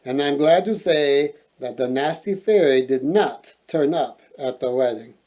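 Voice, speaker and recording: A man reading a children's fairy tale aloud in a playful, expressive way, adding joking asides. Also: medium pitch, unhurried. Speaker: storyteller, host.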